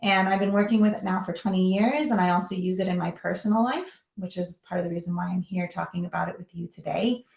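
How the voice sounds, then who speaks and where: Minnesota woman lecturer, upbeat and chatty, gravelly edges, over a video call with an echoey, lo-fi sound. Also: on the low side, fast talker, hardly any pauses, darkish tone. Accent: Minnesota American. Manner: chatty, upbeat, laughs often. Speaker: guest lecturer. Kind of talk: online lecture.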